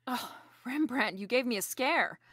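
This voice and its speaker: A woman's voice: on the high side, expressive, unhurried, a bit bright, no echo, clean studio sound. A woman playing a breezy, wisecracking female private detective in a noir audio drama, narrating and bantering. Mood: wry, evasive. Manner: wisecracking, coolly playful.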